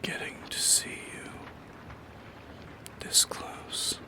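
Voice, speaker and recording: A man voicing a lonely, shy shadow creature in an ASMR roleplay, speaking in a soft, halting, intimate whisper with a creaky edge. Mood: shy yearning. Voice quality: breathy whisper with creaky edges.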